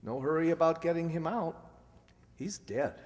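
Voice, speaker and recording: A man's voice: on the high side, normal speed, a little mellow, natural voice. A man playing an alert, urgent husband and amateur sleuth in an old-time style radio mystery. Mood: grim. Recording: echoey hall, faint noise.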